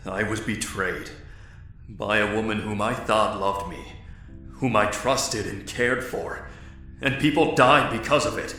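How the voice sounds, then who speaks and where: An actor in an audio drama playing a grave, earnest man in a zombie apocalypse, measured, formal and guarded. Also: on the low side, fairly even intonation, sharp tone. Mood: anguished. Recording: very echoey room, faint music.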